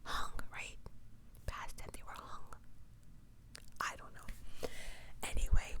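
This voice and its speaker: Whispering woman, casual ASMR storyteller. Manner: conversational, self-correcting, opinionated asides. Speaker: ASMR video host.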